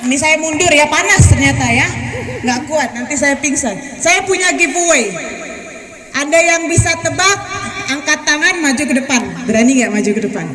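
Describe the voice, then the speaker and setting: Woman, about 30, high voice, playful speaker working a student crowd in an echoey hall. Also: moderate pace. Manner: chatty, teasing, calls students up front. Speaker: guest speaker visiting a school. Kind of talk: lively speech to students, joking and quizzing.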